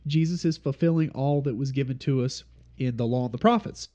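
A man's voice: mid-range voice, fairly quick, dark tone, highs cut off. A man leading an informal Bible study, explaining steadily and repeating key points, with a congested, stuffy nose.

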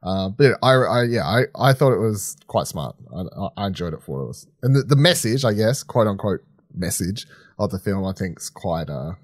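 A man's voice: lowish voice, lively intonation, brisk, dry recording, studio quality. A man co-hosting a podcast, giving a casual, rambling review full of filler phrases like "sort of". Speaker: podcast co-host reviewing films.

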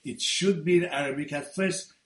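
A middle-aged man from Iran whose first language is Farsi, earnestly telling his testimony as a guest pastor in simple, halting English. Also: a little high, roomy sound.

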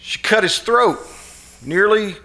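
A man's voice: high voice, animated, slow, sharp tone, heavily acted. A man playing a morbid, insistent, nervy schemer in a live stage re-creation of a 1940s radio suspense play, with a theatrical, coaxing delivery. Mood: morbid relish. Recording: live performance recording with music behind.

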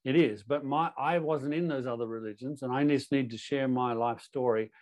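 A Canadian-born man with an Australian accent, sharing his personal testimony as a guest speaker in an earnest, halting way with many restarts. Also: mid-range voice, darkish tone.

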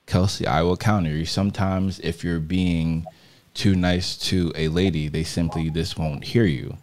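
A man's voice: deep voice, darkish tone. A man hosting a live talk show, giving his opinions firmly and handing the floor to others. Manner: assertive, opinionated. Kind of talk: casual live show discussion.

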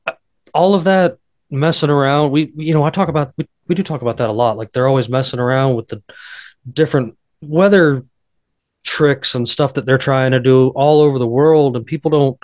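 Slow-drawling man, dry close sound. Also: mid-range voice, darkish tone, no echo. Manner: rambling and drawn out, told he slurs. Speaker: podcast guest. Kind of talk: casual podcast chat.

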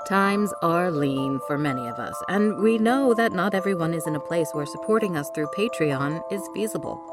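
A woman with a low-pitched voice hosts a scripted podcast outro. Music with chimes plays clearly behind her as she reads. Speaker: podcast host and director reading credits. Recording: clear music bed under the voice.

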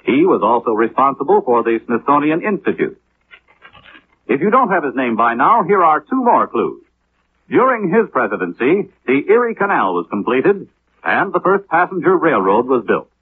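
A man's voice: fairly high pitch, sing-song, moderate pace. A man with an American accent reading a scripted 1950s radio announcement. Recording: muffled 1950s radio, faint noise.

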